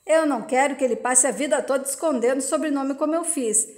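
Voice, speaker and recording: An easygoing middle-aged rancher, calm and reassuring, read by a woman in her own high voice. He talks plainly and affectionately. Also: fast, close to an everyday voice. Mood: relaxed.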